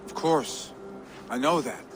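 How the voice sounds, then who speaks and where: Restless young Viking heir, unhurried. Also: male voice, medium pitch, animated. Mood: earnest, restless. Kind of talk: video game cutscene acting. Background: prominent music.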